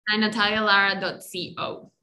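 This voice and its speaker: Bright-voiced woman with a Colombian Spanish accent. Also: mid-range voice, little pitch movement, moderate pace. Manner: warm reflective talk with many "right?" and "you know" tags. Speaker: guest, life coach. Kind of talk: casual podcast interview.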